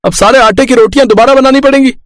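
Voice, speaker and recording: A man's voice: very high-pitched, quick pace, no echo, clean studio sound. A man voicing an angry, hard-nosed roadside eatery owner, blunt and scolding, as a storybook character.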